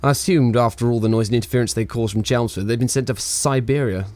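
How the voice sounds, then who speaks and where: A male actor as a sardonic wireless engineer from the 1920s in a radio play. He rambles wryly, theatrically flippant and reluctant, in a mid-pitched voice. The sound is dry and close. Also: steady tone, fast, darkish tone, no room sound, natural voice. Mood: wry, amused.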